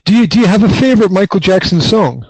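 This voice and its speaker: Host, man, 40s, fairly high voice, restless restarts, dry close sound. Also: fast, slightly rough, no echo. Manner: repeats words and restarts often, lively. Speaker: podcast host. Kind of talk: casual interview chat.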